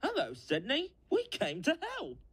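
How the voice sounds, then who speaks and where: An actor voicing an eager, naive young diesel engine detective in a cartoon, earnest and excitable. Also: mid-range voice, moderate pace, over music. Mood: earnest questioning. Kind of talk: cartoon comic dub dialogue.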